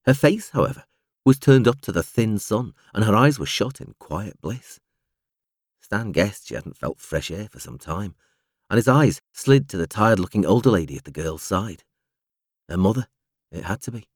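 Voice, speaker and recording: A man reading literary fiction aloud as an audiobook narrator, with a measured, expressive storytelling delivery. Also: lowish voice, dry recording, studio quality.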